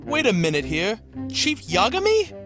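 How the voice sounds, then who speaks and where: A man's voice: extremely high pitch, huge pitch swings, moderate pace, music in the background, exaggerated voice. A man playing a nervous, sardonic, put-upon interviewee in a comedic cartoon parody. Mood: exasperated.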